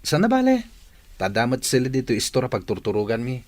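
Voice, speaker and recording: A man's voice: medium pitch, wildly swooping pitch, fast. A man playing a respectful, reassuring young nephew in an audio drama. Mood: respectful, earnest. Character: loyal young male helper, respectful, reassuring.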